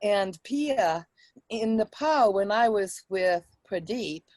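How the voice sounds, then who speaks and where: Woman, reflective and halting; very slow delivery, soft-edged dark tone, personal and informal. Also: mid-range voice, expressive, dry recording. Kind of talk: poem reading and casual personal recollection.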